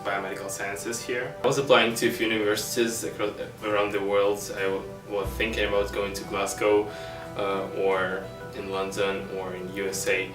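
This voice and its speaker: A man's voice: fairly low pitch, level tone, moderate pace, hardly any pauses, roomy sound, far from the mic, music in the background. A young man from Ukraine with a Ukrainian accent, a university student speaking thoughtfully with some hesitations. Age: young adult. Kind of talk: interview testimonial.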